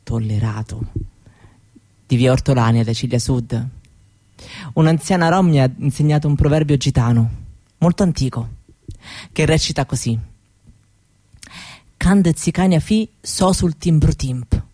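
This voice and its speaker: A woman delivers a reflective stage monologue in a soft, mellow tone. She speaks slowly, leaving deliberate pauses between short phrases. The sound is close and dry, with very little room around it. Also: deep voice, dry recording. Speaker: author and stage performer. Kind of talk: spoken theatrical monologue, first-person storytelling.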